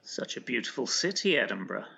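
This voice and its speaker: An actor playing a proper, dryly teasing but concerned gentleman friend in an audio drama, with old-fashioned polite diction. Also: mid-range voice, big pitch swings, brisk, vocal fry, husky, highs cut off, exaggerated voice. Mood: attentive.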